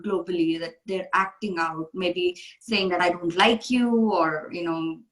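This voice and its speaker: A woman's voice: on the low side, steady tone, unhurried, fairly bright tone. Woman from india hosting a chat, earnest and emphatic, echoey room. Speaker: coach, interview host. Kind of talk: casual interview conversation.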